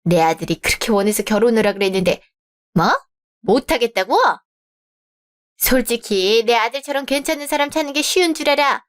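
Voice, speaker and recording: A haughty middle-aged mother-in-law who scolds and talks down to everyone, read by a woman in a radio drama. She speaks in a fairly high voice with a condescending edge. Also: fairly quick, smooth, natural voice. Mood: scolding.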